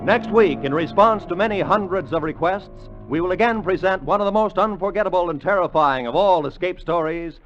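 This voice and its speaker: A man's voice: sits high, fairly quick, few pauses. A man with an American accent announcing formally and emphatically from a script, in 1950 radio style. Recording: muffled vintage radio recording, faint music.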